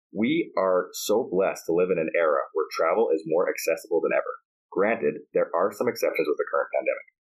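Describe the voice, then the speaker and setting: Casting: male host, very low voice, upbeat and emphatic delivery, chat moving into read-out segments. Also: low-quality recording. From United States. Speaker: podcast host. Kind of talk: interview chat, then scripted reading.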